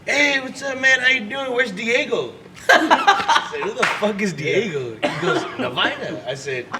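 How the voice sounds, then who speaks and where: Twenty-something guy laughing through a story, kinda high voice, bar noise everywhere. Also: a little slow. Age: 20s. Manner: animated, swears and laughs while telling stories. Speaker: podcast co-host, musician. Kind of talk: casual storytelling.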